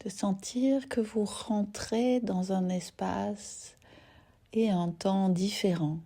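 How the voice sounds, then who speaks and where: A woman teaching yoga nidra, guiding a relaxation gently and unhurriedly in short phrases with soft, breathy endings. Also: mid-range voice, steady tone, slow. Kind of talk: guided relaxation meditation.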